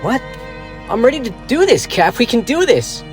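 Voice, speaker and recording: A man's voice: very high voice, big pitch swings, normal speed. An actor playing a cocky, eager teenage boy sidekick with brash 1940s slang in an audio drama. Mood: pleading. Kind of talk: cinematic audio drama acting. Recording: music behind the voice.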